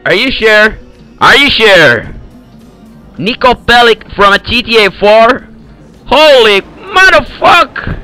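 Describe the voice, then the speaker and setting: High bright-voiced guy with an eastern european accent, slow and boastful, trolling in voice chat. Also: big pitch swings, faint music behind. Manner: broken English, boastful, trolling. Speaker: voice chat player. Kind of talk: prank call banter in game voice chat.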